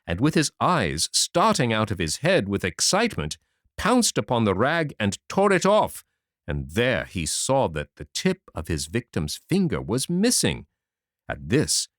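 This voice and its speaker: A man narrating a fairy tale audiobook in a dramatic, expressive reading. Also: fairly low pitch, no room sound. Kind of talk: scripted storybook narration. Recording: dry close audiobook mic.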